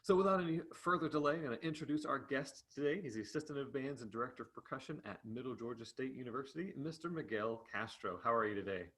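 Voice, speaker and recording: A man's voice: mid-range voice, fast talker, few pauses, fairly bright tone, breathy edge. A man speaking as the host of an interview, introducing and questioning in a conversational way. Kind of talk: interview hosting.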